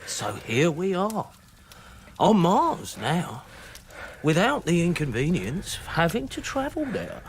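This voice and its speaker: A man's voice: fairly high pitch, wildly swooping pitch, slow, noisy background, heavily acted. An actor in a radio drama playing a wry, resigned male survivor, speaking in a sardonic, laconic, fatalistic way. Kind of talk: dramatic radio play acting.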